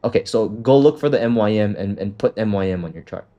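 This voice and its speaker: A man's voice: lowish voice, quick pace, warm tone, lo-fi sound. Laid-back man walking someone through setup. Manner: loose, filler-heavy, swears casually. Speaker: trading coach. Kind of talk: casual walkthrough on a call.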